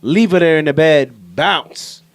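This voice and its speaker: A man's voice: a little high, unhurried, no room sound. An American man co-hosting a podcast in casual, slangy talk, often repeating himself for emphasis. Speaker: podcast co-host.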